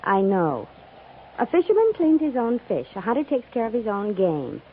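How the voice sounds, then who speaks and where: A woman's voice: medium pitch, very animated, normal speed, natural voice. An American woman acting a self-reliant, playfully teasing frontier woman in a 1950s radio drama, with a wry, easygoing manner. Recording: muffled 1950s radio recording, faint noise.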